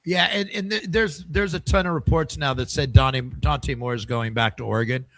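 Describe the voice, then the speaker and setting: A man's voice: medium pitch, moderate pace, a bit bright, no echo. Older man rambling about football. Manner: hesitant, repeats words, enthusiastic fan. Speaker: podcast co-host. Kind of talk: casual sports chat.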